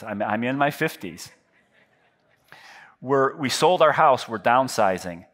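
A man's voice: medium pitch, a bit bright. A man in his fifties preaching a sermon on a church stage into a headset mic, talking in a loose, self-deprecating way with frequent restarts. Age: 50s. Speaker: pastor. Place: large church stage with lit backdrop.

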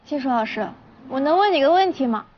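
A woman's voice: high voice, animated, fast talker, highs cut off. A woman playing a bubbly, earnest, slightly awkward young professional in a modern romantic drama. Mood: awkward, gentle. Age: young adult. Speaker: actress in a television drama.

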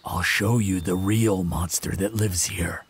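A man playing a proud, protective vampire in a fantasy roleplay audio drama, speaking in measured, sardonic threats. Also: fairly even intonation, a little hiss or hum. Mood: cold menace. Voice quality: low, gravelly, husky. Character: proud protective male vampire, menacing, sardonic, fond of lover.

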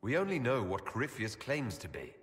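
An actor playing a calm, earnest male elf leader in video game dialogue, measured and dryly understated. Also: mid-range voice, big-room sound. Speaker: player character leader.

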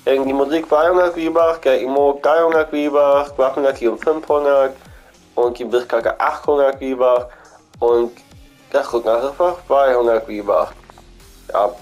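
A man's voice: mid-range voice, steady tone, moderate pace, a little mellow, faint music behind. A man with a cold, chatting casually as he walks through an in-game shop, repeating himself and thinking aloud. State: has a cold. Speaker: gaming channel host.

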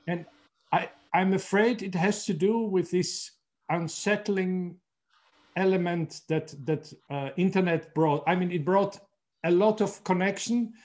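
Man from austria, podcast host, fairly high voice, halting and repetitive phrasing, video call from a study. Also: a little mellow. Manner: halting, repeats words while searching for phrasing. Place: home room with bookshelves.

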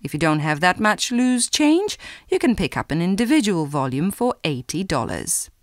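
A woman giving a clear, measured scripted reading for a language listening exam. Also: fairly low pitch, sing-song, no room sound, studio quality. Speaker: exam recording reader.

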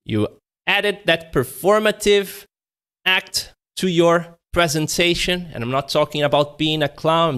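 A man in his thirties presenting a webinar from home over a video call. His voice sits on the high side, and he keeps swinging it between bright, lifted lines and quiet, slowed-down ones to show what he is teaching. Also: smooth. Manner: shifts voice energy up and down to demonstrate, conversational. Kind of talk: live online webinar talk. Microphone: video call webcam.

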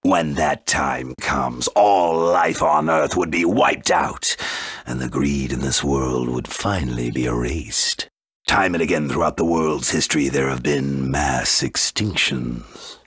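A man playing a grandiose, menacing villain in a video game, bent on wiping out the world, with a gravelly, theatrical delivery. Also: a bass voice, slow pace, fairly bright tone, dry recording, clean studio sound, full character voice. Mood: ominous.

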